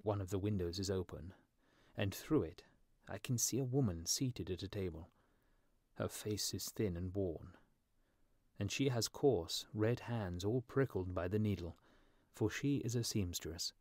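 A gentle storybook prince turned statue played by a man, speaking in a low, musical voice. He is mournful and softly pleading, recorded close and dry. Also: measured pace, no echo. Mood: pitying, pleading. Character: sorrowful gentle statue of a dead young prince.

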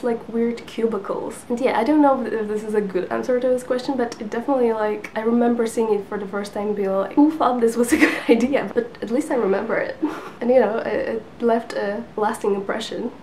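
A young woman whose first language is Polish talks to the camera from a living-room couch, rambling easily with lots of "like" and small giggles. Her voice sits a little high, and a faint hiss runs under the home recording. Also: fairly even intonation, a little fast, a little mellow. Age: 20s. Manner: chatty, giggly, lots of fillers. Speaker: book vlogger. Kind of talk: casual chat to camera. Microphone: camera in front at sitting distance.

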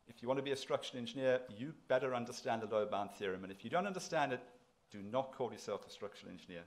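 A middle-aged man giving a public address from a podium, conversational but emphatic, repeating phrases for effect. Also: mid-range voice. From South Africa. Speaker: institution president giving inaugural address. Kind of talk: public lecture to an audience. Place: lecture hall, at a podium.

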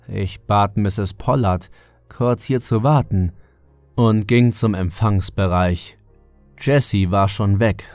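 A man narrates a horror audiobook in the first person. His voice is on the low side and his pace is very slow and measured, with music playing underneath. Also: darkish tone.

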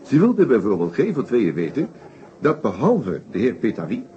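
An actor playing a shrewd, methodical detective inspector in a Dutch radio play, explaining his case deliberately with small hesitations. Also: mid-range voice, wildly swooping pitch, mellow, a little hiss or hum. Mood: measured, thoughtful. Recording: dull old radio play recording.

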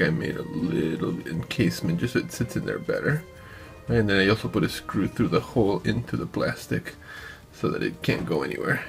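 A man hosting a craft tutorial, talking informally and haltingly as he thinks aloud while working. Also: lowish voice, creaky, fairly bright tone. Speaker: DIY maker and video host. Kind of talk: casual hands-on tutorial narration. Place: indoor craft workbench.

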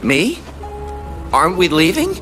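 Casting: whiny, impatient game survivor, high voice, lively swings. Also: male voice, unhurried, over music. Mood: anxious, impatient. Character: nervous, impatient stranded male survivor. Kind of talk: video game cutscene acting.

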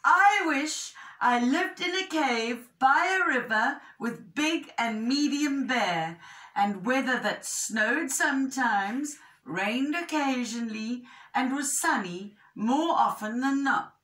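A woman's voice: high voice, expressive, takes it slowly, fairly bright tone, voice pushed up, heavily acted. A woman voicing a small, grumpy little bear cub in a storybook, plaintive and childlike. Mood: wishful. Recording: roomy echo, distant microphone.

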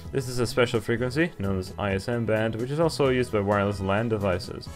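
A young man hosting a gaming video, reading on-screen story text aloud and adding casual comments. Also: lowish voice. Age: young adult. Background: game music.

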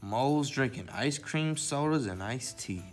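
A man's voice: medium pitch, lively intonation, unhurried, soft background music. A man hosting a library story time, presenting to children in the manner of a read-aloud and simple how-to.

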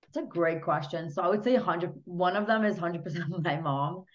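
A young woman from Hungary talks casually as a podcast guest, her speech full of "like" and "you know". Her voice sits fairly low for a woman. The sound is dull and lo-fi, picked up by a laptop mic on a video call. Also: normal speed. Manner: chatty, many fillers like "you know" and "like". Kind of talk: casual interview chat. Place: small home room. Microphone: laptop webcam mic on a video call.

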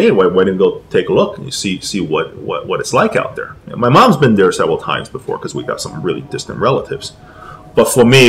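A man with a fairly low voice and very animated intonation speaks casually in an interview. He rambles a little, stopping and restarting as he explains things. He is from Taiwan and was raised in America. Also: a little hiss or hum, poor audio. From Taiwan, moved to America at nine. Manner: rambling, halting, repeats phrases. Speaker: interviewee, comic book creator. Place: small room with posters, indoors. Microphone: desk condenser microphone with pop filter.

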